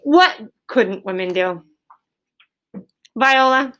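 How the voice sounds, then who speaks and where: A woman's voice: a little high, animated, drawn-out words, fairly bright tone. A woman teaching an elementary class in a question-and-answer style, directing pupils and repeating their answers back to confirm them.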